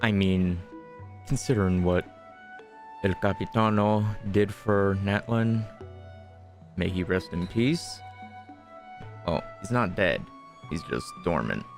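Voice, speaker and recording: A man's voice: sits low, takes it slowly, gravelly, pauses often. A man giving casual gameplay commentary as a streamer, thinking aloud with wry, sarcastic asides. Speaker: game streamer. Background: game music.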